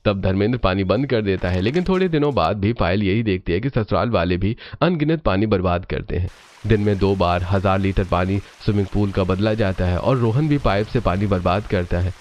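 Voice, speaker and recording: A man's voice: low voice, fairly quick, darkish tone. A man narrating a scripted story.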